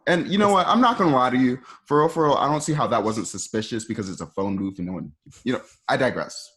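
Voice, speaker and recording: A man narrating a radio play, reading out scene directions in a conversational way with a husky voice. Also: mid-range voice, lively intonation, fast, a bit bright.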